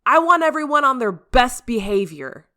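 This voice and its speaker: A woman voicing a gruff, irritable male fire station captain in an audiobook, with a loud, emphatic, bossy delivery. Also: sits high, sing-song, normal speed, pitched above the performer's own voice, full character voice. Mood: warning.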